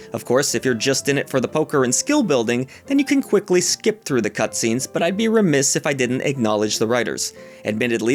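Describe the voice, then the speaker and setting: A man narrating a scripted video game review in a measured, lightly wry, opinionated way. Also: medium pitch.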